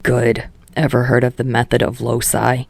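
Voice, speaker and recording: Gravelly, brash teenage boy, played by a man. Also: mid-range voice, completely flat delivery, moderate pace, dry recording. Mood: blunt, insistent. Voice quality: gravelly, growling. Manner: gruff, blunt, scolding. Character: brash, foul-mouthed, short-tempered teenage boy tutoring a classmate. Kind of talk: acted dialogue in fan fiction audiobook.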